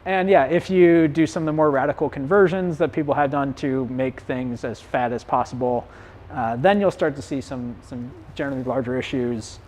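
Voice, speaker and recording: A man teaching a community bike clinic in a loose, conversational way. His voice is getting hoarse. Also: medium pitch, moderate pace, darkish tone. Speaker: bike shop clinic instructor. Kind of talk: live-streamed community clinic talk.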